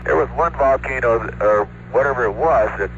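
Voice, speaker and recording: A man's voice: mid-range voice, moderate pace. An American man, an astronaut, giving an unscripted report over a space radio transmission. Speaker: astronaut reporting to mission control. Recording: noisy muffled archival space radio link.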